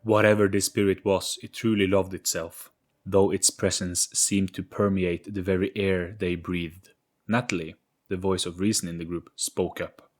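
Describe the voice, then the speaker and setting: A man reading a scripted, dramatic story in an ominous, measured storytelling manner. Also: low voice, level tone. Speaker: audio story narrator.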